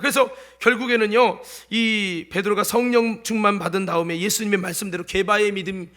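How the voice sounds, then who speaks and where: Pastor guy w/ a really high voice, bit of chungcheong accent, goes loud then hushed, keeps asking the church questions. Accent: light Chungcheong Korean. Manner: swings from loud exhortation to hushed asides, quizzes the congregation. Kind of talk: sermon.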